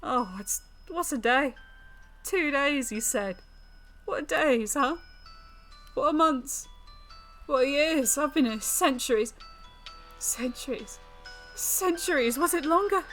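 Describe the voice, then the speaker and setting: A woman's voice: sits high, lively intonation, takes it slowly, pauses often, fairly bright tone, soft background music, voice pushed up, heavily acted, rough recording. A woman voicing a centuries-old, sleepless female fiend from a visual novel, bitter and foul-mouthed, with theatrical repetitions. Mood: anguished disbelief. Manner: theatrical, repeating words, cursing. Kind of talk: dramatic visual novel reading.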